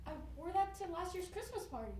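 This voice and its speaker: A woman's voice: very high voice, lively intonation, fast, darkish tone. A woman acting a hesitant, sentimental clothes-hoarding client in a stage comedy, protesting as she speaks. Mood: meek, defensive. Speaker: stage actor in a one-act play. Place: indoor stage before an audience, distant microphone. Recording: echoey, very noisy distant stage recording.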